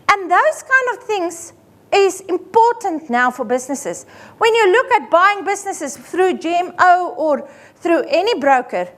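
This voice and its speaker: A middle-aged woman with an Afrikaans accent, an accountant giving a lively seminar presentation full of everyday examples. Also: extremely high pitch. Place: seminar room.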